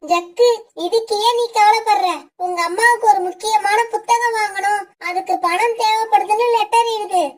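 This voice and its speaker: A woman's voice: very high-pitched, fairly even intonation, normal speed. A woman voicing a scheming young female bird in a cartoon, speaking in a coaxing, persuasive way.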